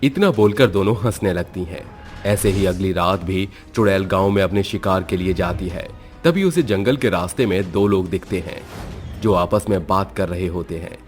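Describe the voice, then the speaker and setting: Deep-voiced man narrating. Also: moderate pace, soft background music. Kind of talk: scripted horror story narration.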